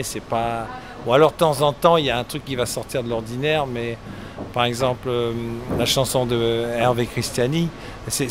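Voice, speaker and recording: A middle-aged man from England, a musician, answering interview questions in a relaxed, rambling way with many hesitations. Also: medium pitch. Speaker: musician guest. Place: outdoors at a summer concert event. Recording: outdoor street noise behind. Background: traffic and street ambience.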